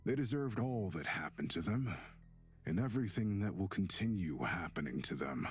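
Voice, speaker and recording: A man voicing a bitter, mocking centuries-old demon in a young man's body, with theatrical drawn-out delivery, for a visual novel game. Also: sits low, lively intonation. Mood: mocking, menacing. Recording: music behind the voice.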